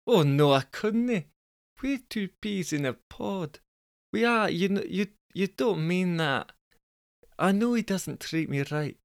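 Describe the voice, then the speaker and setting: High-pitched, grumbling Scottish girlfriend for a comic story, voiced by a man, long-suffering yet fond, close dry sound. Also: animated, slow, creaky, clear, resonant tone, no echo, full character voice. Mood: fretful, defensive. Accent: put-on wobbly Scottish.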